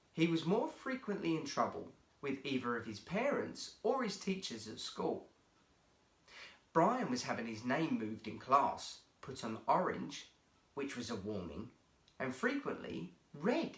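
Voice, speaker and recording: A man narrating a children's story as a guest storyteller, in an animated, theatrical manner. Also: fairly high pitch. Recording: roomy, echoey sound.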